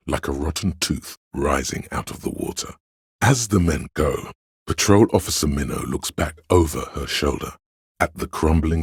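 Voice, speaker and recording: A man with a gravelly, husky voice, narrating a video game in a measured, solemn, literary and dramatic style. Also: a bass voice, no room sound, studio quality. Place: close, dry studio.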